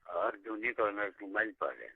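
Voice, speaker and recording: A man's voice: on the low side, sing-song, fairly quick, creaky, a little hiss or hum. A man chatting casually and privately on a phone call. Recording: muffled tinny phone call recording.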